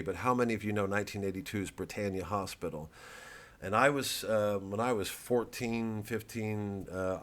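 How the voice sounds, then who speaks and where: A man's voice: fairly low pitch, fairly bright tone. A man in his fifties from the United States, giving a rambling, sardonic and profane comedic monologue.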